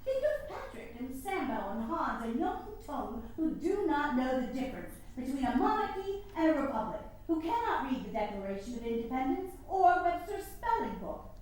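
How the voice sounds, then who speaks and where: An actress in a staged reading playing a haughty, combative nineteenth-century suffragist leader, with formal, emphatic delivery. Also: female voice, high voice, sing-song, moderate pace, darkish tone. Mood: indignant, imperious. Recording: very echoey room, faint noise.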